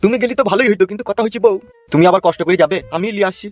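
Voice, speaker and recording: Casting: male actor as wheedling, coarse cartoon husband, very fast delivery, muffled audio with music. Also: sits high, no room sound, natural voice. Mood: coaxing. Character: bickering, sweet-talking two-timing husband, foul-mouthed. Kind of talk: comic cartoon dialogue. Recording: muffled narrow-band audio over music.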